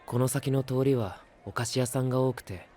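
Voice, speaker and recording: An actor playing a calm, polite young guide in an anime voice drama, explaining things in a measured, earnest way. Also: male voice, medium pitch, some chatter behind, natural voice. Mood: calm, explanatory. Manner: measured, explanatory, like reading a manual. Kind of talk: Japanese anime voice drama acting.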